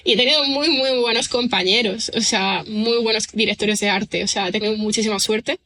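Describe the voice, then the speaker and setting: Bright-voiced woman musing with many fillers. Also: a little high, a little fast. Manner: thoughtful, rambling with many fillers and restarts. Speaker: writer and screenwriter guest. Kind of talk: casual podcast interview.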